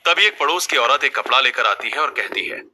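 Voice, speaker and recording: A man's voice: mid-range voice, animated, fairly quick. A man reading a scripted family story as its narrator. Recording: music bed under the voice. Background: loud background music.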